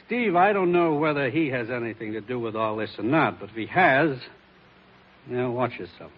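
An actor playing a grave, businesslike commissioner in a 1940s radio drama, speaking with an American accent in a measured, authoritative way. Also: male voice, mid-range voice, animated, in a large hall, close to an everyday voice. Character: grave, businesslike male commissioner briefing his agent. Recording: muffled 1940s radio recording.

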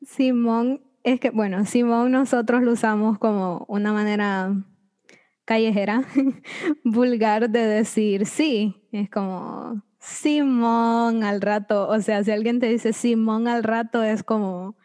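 A young woman sharing anecdotes in a relaxed group conversation. Her voice is somewhat high and mellow, and she tells her stories with easy self-amusement. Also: takes it slowly. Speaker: podcast guest, student. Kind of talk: casual group chat.

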